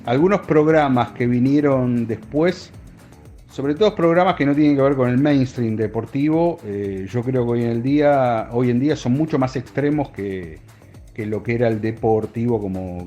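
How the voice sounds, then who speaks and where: A man speaks reflectively in an interview. His voice is medium-pitched and relaxed, his phrasing halting. Music runs faintly behind him. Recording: music under the voice.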